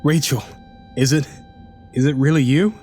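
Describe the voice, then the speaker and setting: A man playing an anguished, haunted lover in an audio drama, with emotional, halting delivery. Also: a little high, fairly even intonation, a little mellow, in a large hall, lightly acted. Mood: shaken relief. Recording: music under the voice. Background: background music.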